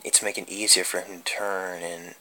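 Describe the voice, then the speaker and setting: A man's voice: low-pitched, little pitch movement, brisk, pushed and bright, poor audio. A man playing a melancholy, tormented dead puppeteer in a creepy horror story reading, with brooding theatrical delivery. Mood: anguished. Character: creepy undead puppeteer, melancholy tormented young man's ghost. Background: music.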